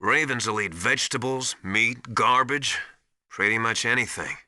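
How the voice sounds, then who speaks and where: An actor playing a knowledgeable, commanding military mentor in a video game, giving didactic and urgent instructions with emphasis. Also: male voice, medium pitch, normal speed, pushed and bright, no echo, studio quality. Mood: instructive. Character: knowledgeable, commanding male military survival mentor called Master. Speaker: radio support advisor.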